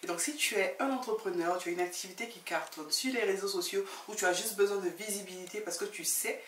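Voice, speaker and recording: A woman's voice: medium pitch, quick pace, fairly bright tone. Woman, interview host, conversational, rephrasing questions, echoey room, faint background noise. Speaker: talk show host, interviewer. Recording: roomy, distant mic, faint noise.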